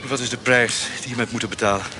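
A man's voice: mid-range voice, steady tone, fast talker, natural voice. An actor playing an ardent, effusive rogue in a period radio drama, speaking emphatically to his lover. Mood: suspicious. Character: ardent, grateful male lover, bald rogue. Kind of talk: Dutch radio drama acting. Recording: noisy ambience behind the voice.

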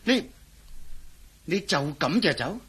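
A man's voice: a little high, very animated, takes it slowly, slightly rough, full character voice. A man acting a pushy, self-important intelligence officer in a Cantonese radio drama, with a Hong Kong accent. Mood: insistent, frustrated. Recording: loud vehicle noise behind the dialogue.

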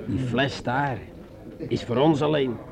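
An actor playing a boastful, jovial sergeant in a radio drama, boisterous and coaxing, with drawn-out theatrical delivery. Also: male voice, mid-range voice, steady tone, vocal fry, over a noisy crowd.

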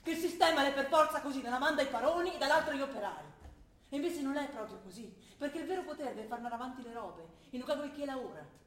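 A woman's voice: high-pitched, lively intonation. An actress playing a young idealistic revolutionary student who argues with her father. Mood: fervent. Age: young adult. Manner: earnest, quick, rising to fervent argument. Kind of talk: stage play acting. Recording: roomy stage recording with faint noise.

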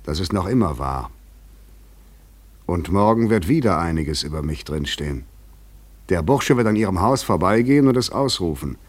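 Radio play: restless, worn-out intruder on the run, low husky voice, probing and rhetorical. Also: male voice, expressive, normal speed, long pauses. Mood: provocative, insistent. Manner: articulate, rhetorical, insistent. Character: overwrought, weary, probing male fugitive intruder. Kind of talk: German literary radio play acting.

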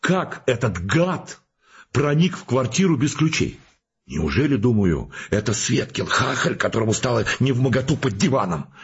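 An actor playing a boastful, excitable male scientist in a radio play, telling his tale in blustering, colloquial speech with a rough voice. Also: mid-range voice, moderate pace, muffled recording, heavily acted. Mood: indignant. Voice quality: husky, rough.

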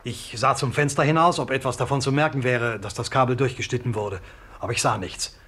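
An actor playing an irritable, impatient householder in a 1950s radio play, blunt and easily indignant. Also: male voice, mid-range voice, steady tone, a little fast, a bit bright, close to an everyday voice. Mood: explaining.

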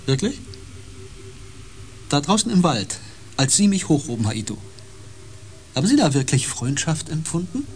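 An actor in a German radio play, playing a tormented, over-sensitive empath on a space expedition, intense and halting, moving between agitation and hushed awe. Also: male voice, on the high side, big pitch swings. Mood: urgent, probing. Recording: noisy with ambient sound.

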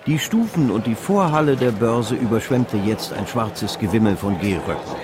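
An actor narrating a literary radio play as a measured, all-knowing storyteller of an old stock exchange tale. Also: male voice, on the low side, animated, a little mellow, close to an everyday voice. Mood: measured, vivid. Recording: music behind the voice. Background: music.

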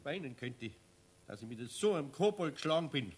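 An actor playing a grumpy, no-nonsense middle-aged craftsman in a children's radio play, scolding in a husky voice with a Bavarian accent. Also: mid-range voice, big pitch swings, brisk. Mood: angry scolding. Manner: grumbling, scolding.

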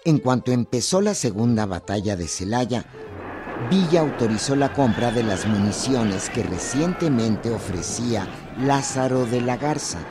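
A man's voice: medium pitch, expressive, measured pace, music in the background. Male documentary narrator, mid-range voice, scripted delivery over a musical bed.

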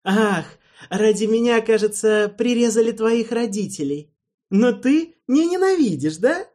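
A man's voice: sits high, expressive, unhurried, voice pushed up. A man voicing a hysterical, spiteful young male king who throws childish tantrums. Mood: mocking. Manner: shrieking, petulant, childish tantrums.

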